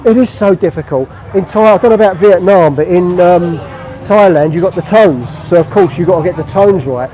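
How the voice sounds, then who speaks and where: A man's voice: sits high, a little fast, warm tone, low-quality recording. A middle-aged man from England, chatting casually on a live-stream walking tour of a street market. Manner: chatty, friendly, narrating while walking. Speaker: vlogger.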